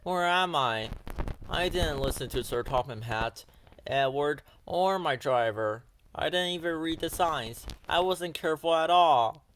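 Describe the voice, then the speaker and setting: A man voicing a boastful, excitable young male steam engine in a children's storybook. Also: a little high, unhurried, slight background noise, low-quality recording. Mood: dismayed, sad. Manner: boastful, exclamatory.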